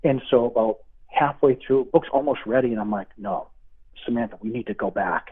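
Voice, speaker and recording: A middle-aged man with a Boston accent talks earnestly and warmly of faith as a guest. His voice is a little husky and comes through a tinny phone line. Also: mid-range voice, brisk. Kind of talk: interview over the phone.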